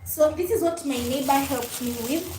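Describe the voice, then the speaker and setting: Casting: female livestream host, Kenyan accent, high register, friendly casual chat, recorded in a reverberant room. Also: moderate pace, mellow. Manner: friendly, mixes English and Swahili, often thanks and greets viewers. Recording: echoey room with faint background noise.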